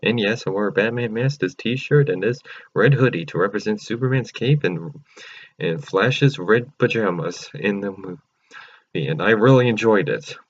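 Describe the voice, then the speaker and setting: Excited rambling man reviewing a movie. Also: lowish voice, normal speed, breathy edge, dull audio. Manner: excited and rambling, many fillers and restarts. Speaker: channel host. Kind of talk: casual movie review vlog.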